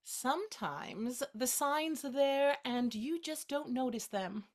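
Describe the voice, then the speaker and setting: A woman's voice: high-pitched, expressive, slow pace. A woman acting in a naturalistic drama as a playful, caring best friend with a light, teasing, encouraging manner.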